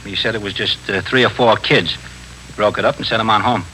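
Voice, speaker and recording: An actor playing a deferential desk sergeant in a 1950s radio police drama, clipped and matter-of-fact. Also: male voice, fairly low pitch, fairly quick, bright, forceful tone. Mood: dutiful, brisk. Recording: faintly noisy 1950s radio broadcast.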